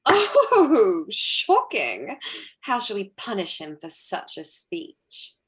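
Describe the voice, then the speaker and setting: A woman's voice: medium pitch, huge pitch swings, takes it slowly, fairly bright tone, exaggerated voice. Woman playing a haughty, swooping society lady. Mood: flirtatious. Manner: arch, affected, gushing. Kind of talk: theatrical staged reading of period drama.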